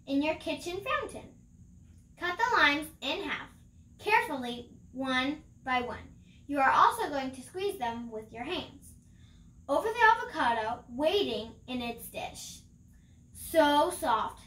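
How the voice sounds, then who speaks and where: Bright-voiced young girl reading slowly. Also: high-pitched. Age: child, about 9 to 12. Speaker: picture book reader. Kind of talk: careful read-aloud of a children's picture book. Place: small room at home, indoors. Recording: roomy home recording.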